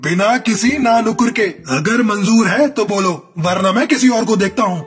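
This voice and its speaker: Cartoon casting: miserly male crow merchant, notably high voice, calculating, light music behind. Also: moderate pace, slightly rough. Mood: stern, commanding. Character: stingy, scheming male crow, rich merchant husband. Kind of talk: Hindi animated moral story dialogue.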